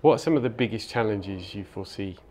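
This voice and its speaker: A man's voice: fairly low pitch, fast, darkish tone. A man hosting a live business interview in an office studio, agreeing readily with his guest. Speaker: interview host.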